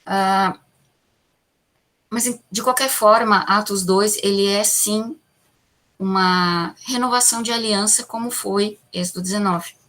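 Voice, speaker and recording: A woman's voice: medium pitch, fairly even intonation, measured pace, a bit bright. A Brazilian woman teaching a classroom Bible lesson, explaining patiently, asking the class questions and answering them herself, tagging sentences with little checks. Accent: Brazilian Portuguese. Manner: peppers sentences with "tá?" and "né?".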